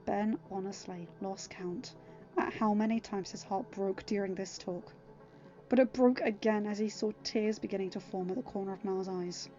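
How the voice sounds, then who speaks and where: A woman's voice: medium pitch, steady tone, normal speed, dark tone, highs cut off, soft background music. A woman reading a story aloud as scripted narration. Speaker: podfic reader.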